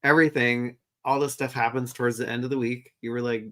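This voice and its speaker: A man's voice: medium pitch, flat delivery, quick pace, sharp tone. A man co-hosting a toy podcast, laid-back with short casual replies. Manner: laid-back, brief replies, says y'all. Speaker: toy news podcast co-host. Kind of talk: casual chat.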